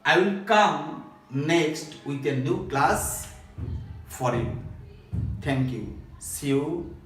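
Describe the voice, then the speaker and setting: A man's voice: a little high, animated, very slow, a bit bright. A man with a Bangladeshi accent teaching English grammar step by step, in a repetitive, phrase-by-phrase instructional way. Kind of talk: recorded grammar lesson, step-by-step explanation. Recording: echoey room, distant microphone.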